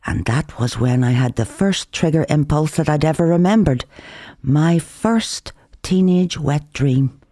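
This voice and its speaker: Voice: medium pitch, measured pace, warm tone, dry recording. Wry Northern Irish storyteller, dry close recording. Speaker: author reading own novel. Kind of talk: dramatic literary audiobook narration.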